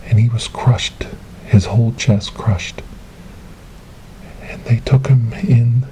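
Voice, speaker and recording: A man performing an ASMR monologue as a gentle, grieving storyteller in a witch-trial roleplay, speaking softly and haltingly in a breathy, often whispered voice close to the microphone. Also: fairly low pitch, slight background noise, poor audio. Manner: slow, halting, earnest, soft-spoken. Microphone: close binaural microphone.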